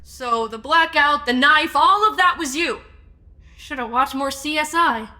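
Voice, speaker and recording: A woman playing a brisk, level-headed, matter-of-fact amateur investigator in a comedy audio drama. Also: high-pitched, animated, bright, forceful tone, full character voice. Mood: demanding.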